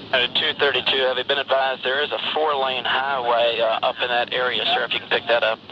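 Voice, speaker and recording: A man working as an air traffic controller, speaking in measured, procedural phrases over the radio. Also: mid-range voice, level tone. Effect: radio transmission. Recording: muffled, noisy air traffic radio recording.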